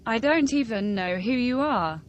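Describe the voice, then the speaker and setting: Female actor as fantasy game heroine, determined, direct, fairly high voice, lively intonation. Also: moderate pace, slight background noise, lightly acted. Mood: questioning. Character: determined reborn heroine, questioning and plain-spoken. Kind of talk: fantasy game dialogue acting.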